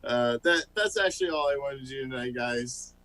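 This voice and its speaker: A man finishing a self-mocking stand-up set over a video call, in a wry, unhurried way. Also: mid-range voice, pushed and bright, slight background noise. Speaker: stand-up comic.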